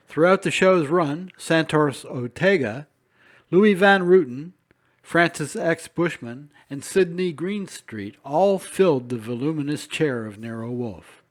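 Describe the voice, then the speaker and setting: Male programme presenter, slightly high voice, measured scripted narration with emphasis.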